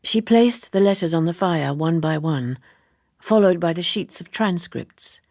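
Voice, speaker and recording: A woman with a husky, creaky voice reads literary fiction for radio in a measured, deliberate manner. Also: on the low side, darkish tone, no echo, clean studio sound. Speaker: audiobook reader. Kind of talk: scripted literary narration for radio.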